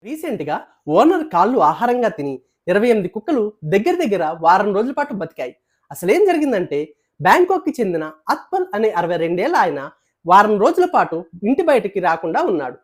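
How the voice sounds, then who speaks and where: Animated, fast-talking woman newsreader. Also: medium pitch, breathy edge. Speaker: news presenter. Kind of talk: scripted news narration.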